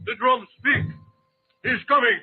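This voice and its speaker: An actor playing an awestruck herald crying out incantations in a 1940s radio drama. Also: male voice, very high voice, a little slow, breathy edge, exaggerated voice. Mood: fearful awe. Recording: tinny old radio, faint music behind.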